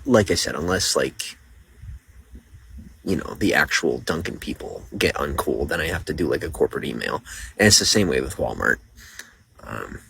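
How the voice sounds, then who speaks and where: Low-voiced man chatting on a livestream. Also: vocal fry, sharp tone, slight background noise, poor audio. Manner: rambling, greets viewers by name, reads out comments. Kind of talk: casual live-stream chat.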